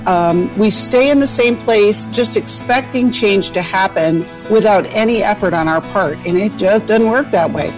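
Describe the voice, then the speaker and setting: A woman's voice: mid-range voice, moderate pace, few pauses, over music, rough recording. Woman co-host, radio advice show, reflective, counseling.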